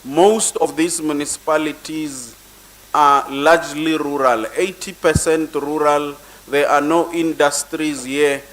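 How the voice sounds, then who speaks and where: A man, a local councillor, giving an earnest formal welcome speech in short measured phrases. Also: on the high side, fairly even intonation, fairly bright tone, low-quality recording.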